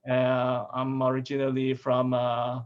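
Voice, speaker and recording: A man from Malaysia, a food sensory scientist, giving a relaxed talk over a video call with restarts and chuckles. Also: medium pitch, measured pace. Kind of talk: casual video-call presentation. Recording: dull lo-fi video call audio.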